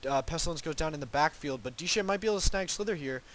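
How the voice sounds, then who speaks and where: A man's voice: fairly high pitch, very fast, fairly bright tone. Congested young man casting a game. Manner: rambling, hedging asides with many ums and restarts. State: congested, sniffling from a cold. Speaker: game caster. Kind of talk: solo play-by-play esports commentary.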